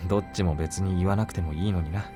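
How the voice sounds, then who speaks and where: A protective young man from a visual novel, played by a male actor, gruff but caring. He speaks very rapidly in a lowish voice. Faint game music runs underneath. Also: fairly even intonation, close to an everyday voice. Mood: gentle. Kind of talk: Japanese visual novel voice acting.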